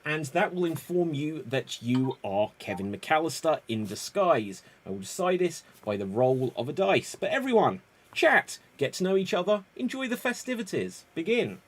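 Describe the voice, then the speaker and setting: A man's voice: on the high side, sing-song, normal speed. A man hosting a live improv game over a video call, upbeat and a bit rambling. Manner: upbeat, rambling, self-correcting. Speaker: improv show host. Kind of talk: energetic game-show hosting.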